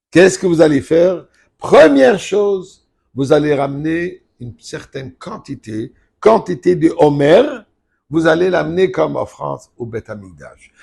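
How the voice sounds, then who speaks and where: Older rabbi guy teaching torah, gravelly voice, gets forceful. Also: on the high side. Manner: emphatic, rising to forceful outbursts. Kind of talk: Torah lesson.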